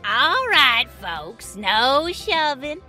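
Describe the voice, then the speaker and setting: A woman's voice: high-pitched, sing-song, drawn-out words, bright, forceful tone, faint music behind, heavily acted. Woman doing a bossy scheming snow sister. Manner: brash, bossy Southern drawl.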